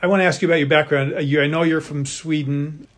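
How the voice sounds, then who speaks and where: A man's voice: on the high side, fairly even intonation, fast talker. A male interviewer asking friendly, conversational questions. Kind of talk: radio-style interview.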